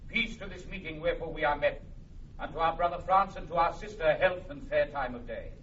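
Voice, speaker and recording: Guy playing gracious king, highish voice, formal, echoey muffled old radio drama. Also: a little fast, a bit bright, far from the mic, slight background noise, lightly acted. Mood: courtly. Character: gracious, dignified English king, formally courteous. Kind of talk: classic Shakespearean radio acting.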